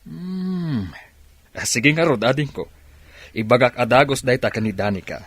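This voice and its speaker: A man acting a gentle, caring father in an Ilocano radio drama, with an Ilocano Filipino accent. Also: medium pitch, moderate pace, breathy edge, no room sound. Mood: grateful.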